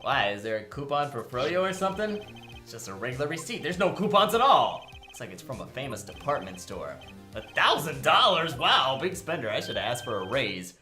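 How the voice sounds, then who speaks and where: A man's voice: medium pitch, very animated, a little fast, pushed and bright. A man voicing a cocky, goofy young defense attorney in a comedic game fan dub, sarcastic and flippant. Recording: roomy, echoing, music underneath.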